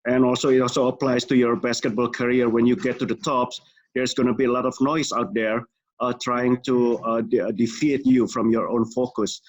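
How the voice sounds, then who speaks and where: A man with an Indonesian accent asks questions as a participant in an online session. His pitch is average and his phrasing halting. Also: level tone, low-quality recording.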